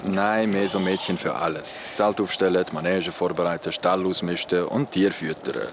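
A young storyteller with a deep, low voice. He is cagey and understated, talking casually in a radio play, with clear ambient noise behind him. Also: moderate pace. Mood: storytelling. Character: cagey young male storyteller with a mysterious past. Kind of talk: audio drama acting, casual storytelling.

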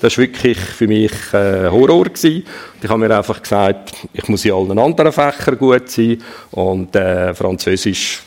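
A man with a Swiss German accent, a former military pilot, telling stories from his past in a relaxed, hesitant way with many pauses. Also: on the low side. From Switzerland. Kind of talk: casual storytelling.

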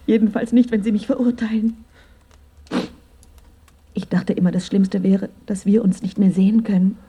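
Jailed wife in radio drama, manipulative through tenderness. Breathy, dark-toned, softly pleading. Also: female voice, high voice, full character voice. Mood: tearful, tender. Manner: soft, breathy, half-whispered pleading. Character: scheming jailed wife playing fragile, tender expectant mother. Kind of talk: intimate German radio drama acting.

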